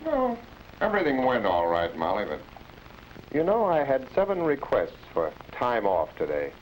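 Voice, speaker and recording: A plain-spoken American working man, a slightly baffled foreman in a 1940s instructional film. His mid-pitched voice swings animatedly and his talk is folksy and colloquial. The vintage soundtrack has faint noise. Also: huge pitch swings, normal speed, low-quality recording. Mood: grumbling, troubled. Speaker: factory foreman and husband.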